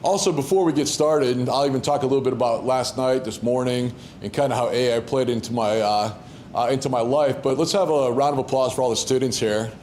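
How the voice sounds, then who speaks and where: A middle-aged man of ordinary pitch talks to a room of students in a loose, conversational way. He restarts sentences often and leans on fillers. A faint hum runs under the voice. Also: fairly quick, a little mellow. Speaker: keynote speaker. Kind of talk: casual, conversational talk to students. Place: university event hall.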